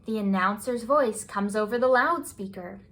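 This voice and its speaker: A woman reading a children's picture book aloud as scripted bedtime narration, with expressive, deliberate phrasing. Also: mid-range voice. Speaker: children's storybook reader.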